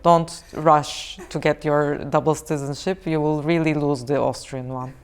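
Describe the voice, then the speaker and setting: A woman's voice: low-pitched, steady tone, moderate pace, clean tone. A woman guest on a panel show, making dry jokes. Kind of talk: panel discussion.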